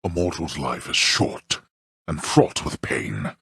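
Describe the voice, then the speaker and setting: A man playing a stern, scornful old god of the underworld in a video game, speaking with pompous, archaic, disdainful diction and a very deep, gravelly voice. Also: very animated, slow pace, fairly bright tone, no echo, exaggerated voice. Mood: scornful, menacing. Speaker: voice actor. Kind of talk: theatrical scripted video game character lines.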